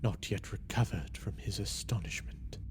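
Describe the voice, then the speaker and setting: A man giving a theatrical, deliberate and intense first-person reading of a gothic story as its narrator. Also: on the low side, in a whisper.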